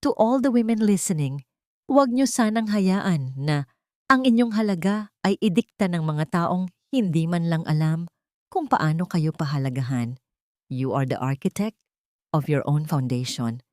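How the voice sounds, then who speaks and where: A woman narrator voicing a composed, resilient businesswoman in a story, calm, sincere and deliberate. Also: fairly low pitch, dry recording, studio quality, close to an everyday voice. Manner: calm, warm, deliberate public-speaker delivery.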